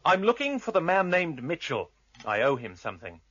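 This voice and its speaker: An actor playing an earnest, determined exile on a search in a radio drama, with a slightly husky, intense voice. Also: male voice, a little high, huge pitch swings, normal speed, a bit bright, dull audio, exaggerated voice.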